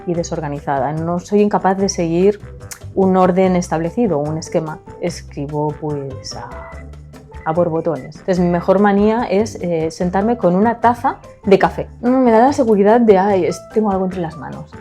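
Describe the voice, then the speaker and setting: A woman with a Spanish accent from Spain answers a podcast interview in a soft, chatty tone. Her voice is mellow and sits a little low, and faint music plays in the background. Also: moderate pace. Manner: soft-spoken, chatty, laughs easily. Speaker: writer, podcast guest.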